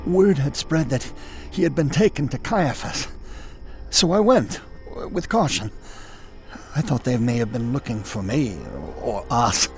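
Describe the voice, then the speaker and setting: An actor playing the apostle Peter, telling the story in a halting, stammering first-person way. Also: male voice, mid-range voice, animated, lightly acted. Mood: remorseful. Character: remorseful apostle Peter, a grown fisherman. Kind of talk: first-person dramatic narration. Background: music.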